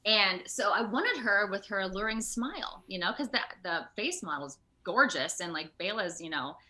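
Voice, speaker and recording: A woman speaking as a voice actor guest in a casual, chatty interview, expressive and thinking aloud as she goes. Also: mid-range voice. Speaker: guest, video game voice actor. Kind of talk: casual animated interview chat. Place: remote video call.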